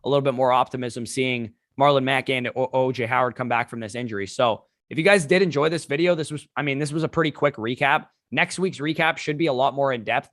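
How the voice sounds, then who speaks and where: Fast-talking hoarse man, dry close sound. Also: medium pitch, a bit bright, no echo. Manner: fast, run-on analysis with restarts. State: hoarse, lost voice. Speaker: fantasy football podcast host. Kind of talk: solo fantasy sports recap.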